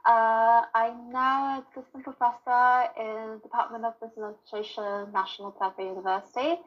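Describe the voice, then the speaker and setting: A woman's voice: on the high side, flat delivery, a little fast, dull audio. A woman from Taiwan, an assistant professor, answering interview questions thoughtfully with frequent fillers.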